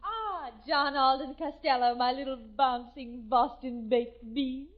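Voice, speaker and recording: Casting: high-voiced, coy, flirty Pilgrim maiden for a 1940s radio comedy, muffled sound. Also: female voice, measured pace. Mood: coy, sweet. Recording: muffled old radio broadcast.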